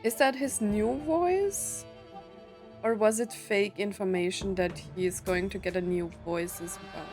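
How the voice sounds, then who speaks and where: A woman's voice: medium pitch, measured pace, a little mellow. A woman gaming streamer giving casual let's play commentary, often wondering aloud in questions. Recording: faint game music behind.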